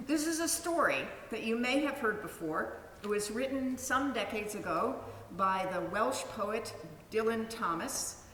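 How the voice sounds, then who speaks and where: A woman's voice: medium pitch, fairly bright tone. A woman minister welcoming the congregation to a worship service, in a conversational way with an occasional uh. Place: large meeting house. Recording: echoey hall, from a distance, faint noise.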